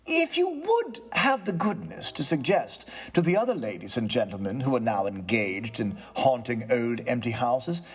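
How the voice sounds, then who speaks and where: A man voicing a nervous, polite and fussy gentleman tenant in a storybook, with a courteous, hesitant manner. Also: mid-range voice, big pitch swings, moderate pace, hardly any pauses, slightly rough. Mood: courteous, persuasive. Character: nervous, polite, reasonable male tenant, frightened then chatty. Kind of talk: theatrical storybook character reading.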